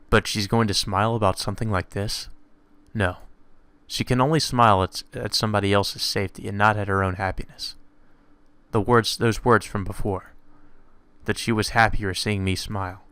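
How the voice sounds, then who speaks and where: A man's voice: fairly low pitch, steady tone, normal speed, a bit bright, smooth, no echo. A man doing a let's play, reading a visual novel aloud and breaking off into casual, sweary, incredulous commentary. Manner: irreverent, sweary, reacting incredulously to the script.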